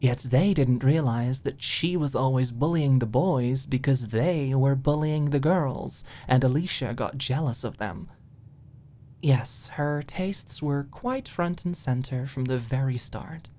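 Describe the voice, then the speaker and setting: Low-voiced woman narrating an audiobook. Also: a little slow, mellow. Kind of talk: scripted ASMR audiobook narration.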